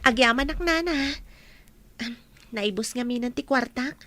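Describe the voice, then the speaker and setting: A woman plays a gentle, trusting young student in a comedy radio drama. Her voice is very high, with an Ilocano accent. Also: measured pace. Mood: tired, polite.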